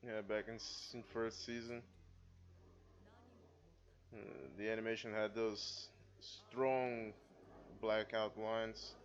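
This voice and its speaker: A man for whom English is a second language, calmly commenting on an anime episode in a casual, self-described stoic way, with dull lo-fi sound. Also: lowish voice, slow, long pauses. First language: not English. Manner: self-described stoic, muttering asides and apologies. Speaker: anime reaction video host.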